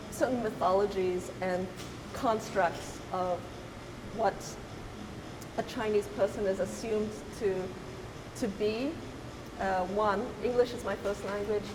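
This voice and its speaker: A middle-aged woman from Zimbabwe, an artist talking reflectively, pausing often and restarting her thoughts. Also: mid-range voice. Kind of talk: reflective conversational talk. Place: gallery. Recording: roomy hall with hiss.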